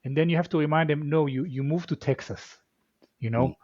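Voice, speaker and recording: A man's voice: a little high, fairly quick, darkish tone, no room sound, highs cut off. A man with an Israeli accent, a guest in a casual interview who talks reflectively and often repeats his words. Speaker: venture capital guest.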